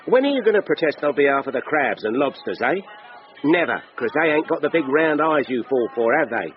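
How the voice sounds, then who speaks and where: A man with a London accent acting in a radio drama as a gruff, mocking working-class market butcher. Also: a little high, lively intonation, brisk, lo-fi sound, soft background music, close to an everyday voice. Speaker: actor.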